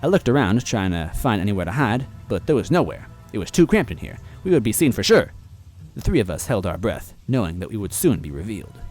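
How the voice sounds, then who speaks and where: A man narrating a fan-fiction story aloud in a playful, animated way. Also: on the low side, brisk, faint music behind.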